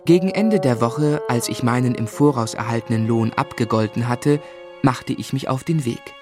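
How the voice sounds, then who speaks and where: An actor playing a grown man narrating memories of his hard boyhood in a measured, reflective literary style. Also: mid-range voice, over music, close to an everyday voice.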